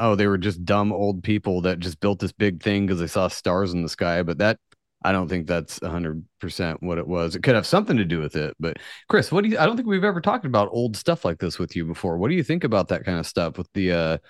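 A man's voice: sits low, sing-song, fairly quick, no room sound, studio quality. A man hosting a podcast in casual chat, informal and slangy, swearing offhandedly. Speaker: podcast host.

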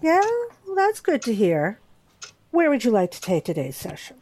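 An actress playing a calm, encouraging virtual therapist in an audio drama, with a gentle, measured, professional manner. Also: female voice, medium pitch. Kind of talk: horror audio drama acting.

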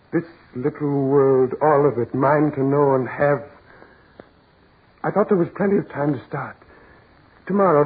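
An actor playing a brooding businessman who yearns to break free, with halting, intense delivery in 1940s radio melodrama style. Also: mid-range voice, husky. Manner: halting, intense, dramatic pauses. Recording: muffled, tinny 1940s radio recording with music.

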